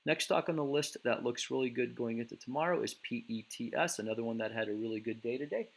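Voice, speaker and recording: A man hosting a casual stock market recap, talking in a relaxed way with many fillers and restarts, and losing his voice. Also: medium pitch, fast, gravelly.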